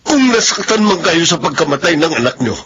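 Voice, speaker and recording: A man's voice: a little high, very animated, fairly quick, fairly bright tone. An actor playing a beleaguered middle-aged widowed father, earnest and emotive, in a radio drama. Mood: hurt, pleading. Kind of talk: melodramatic radio drama acting. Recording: dull radio broadcast with faint noise.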